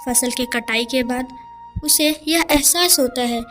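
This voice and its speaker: A woman tells a scripted village moral tale as narrator. Her voice is high-pitched, and light music plays behind it. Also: measured pace, fairly bright tone. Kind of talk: scripted children's moral story narration in Hindi.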